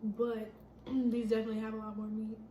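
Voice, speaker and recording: A woman's voice: on the high side, completely flat delivery, fairly quick. A young woman from California chatting casually and playfully while eating on camera. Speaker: mukbang co-host. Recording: echoey room with clear noise.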